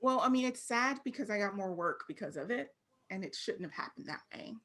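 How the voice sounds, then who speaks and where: A woman's voice: mid-range voice, lively intonation, brisk, a bit bright. A woman from Texas with an American accent, talking candidly and informally as an interview guest. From Fort Worth, Texas, United States. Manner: candid, informal, frequently laughing. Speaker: interview guest, creative professional. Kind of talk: casual interview conversation.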